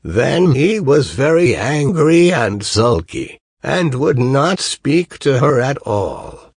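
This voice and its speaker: Very slow male storyteller, gravelly and dry. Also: mid-range voice, very animated, no room sound. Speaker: storyteller reading a fairy tale. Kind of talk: slow scripted audiobook narration of a fairy tale.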